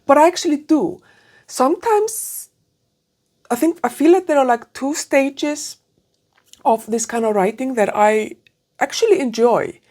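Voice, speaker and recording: A middle-aged woman, an English speaker, chatting casually to camera as a vlogger and thinking aloud in a halting, self-correcting way. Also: sits high, expressive, darkish tone. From Iceland. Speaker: PhD student vlogger. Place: small room with curtains, indoors. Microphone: clip-on lapel mic.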